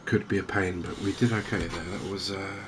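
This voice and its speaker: A softly spoken man giving hesitant, unhurried ASMR-style commentary over a video game. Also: deep voice, steady tone. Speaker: gaming video host. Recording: clear game ambience noise behind voice. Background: outdoor wildlife ambience from a fishing game.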